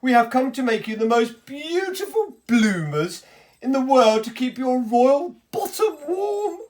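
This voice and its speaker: A woman's voice: high voice, big pitch swings, a little slow, a little mellow, pitched above the performer's own voice. A woman voicing a sly, fawning male tailor in a children's storybook, grandiose and salesmanlike.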